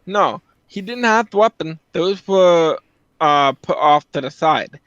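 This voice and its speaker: A man's voice: on the high side, animated, measured pace, a bit bright, smooth, dry recording. A man commenting casually on a game story, explaining it in conversation. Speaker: reaction video commentator. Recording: slightly dull, close dry sound.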